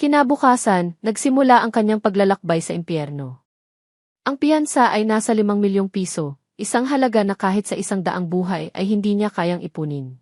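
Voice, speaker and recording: A woman's voice: mid-range voice, animated, moderate pace, a little mellow, no echo, clean studio sound. A woman reading scripted dramatic story narration as the narrator.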